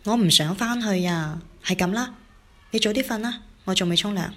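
A woman's voice: mid-range voice, normal speed, a bit bright, close to an everyday voice. A woman with a Cantonese accent playing a reluctant, weary adult daughter in a radio drama. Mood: exasperated, pleading. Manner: pleading, fed up.

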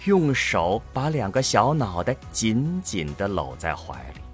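Slow, dramatic male narrator. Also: fairly low pitch, big pitch swings, faint music behind.

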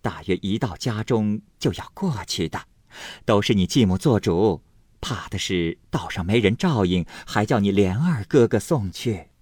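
A man voicing a sharp-tongued young married woman who teases in brisk, mocking chatter. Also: fairly low pitch, expressive, husky, no room sound, studio quality. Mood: teasing.

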